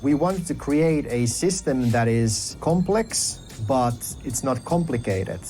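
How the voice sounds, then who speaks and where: A man's voice: mid-range voice, expressive, slow, warm tone. Man explaining in a developer trailer over music. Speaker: game developer. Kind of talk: developer insight trailer narration. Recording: clip played with music behind.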